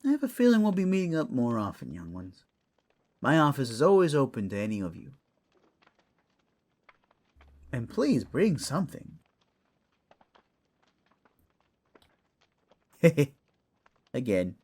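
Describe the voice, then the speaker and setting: A man's voice: mid-range voice, a little mellow. A man playing a wisecracking, fussy but caring raccoon mentor in a fantasy audio roleplay, casual and teasing. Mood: playful.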